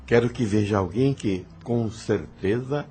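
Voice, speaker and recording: A man playing a calm, caring spirit guide in a Brazilian audio novel, gentle and courteous. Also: fairly low pitch, measured pace, lightly acted.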